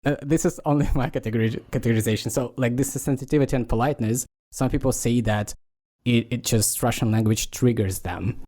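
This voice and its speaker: A man from Ukraine, co-hosting a podcast in a casual discussion, speaking haltingly as he searches for words. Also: mid-range voice, dark tone. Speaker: podcast co-host.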